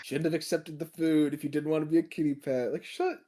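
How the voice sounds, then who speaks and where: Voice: mid-range voice, steady tone, a little fast, dark tone. A podcast panelist in casual, joking chat, using slang and acting out imagined quotes.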